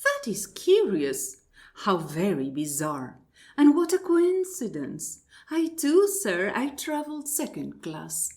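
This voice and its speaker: A woman's voice: mid-range voice, wildly swooping pitch, a little mellow. A woman playing a prim, courteous, politely baffled lady with formal delivery in an absurdist radio play. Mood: mock astonishment. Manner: formal, deliberate, mock-astonished. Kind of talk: absurdist stage dialogue read as radio play.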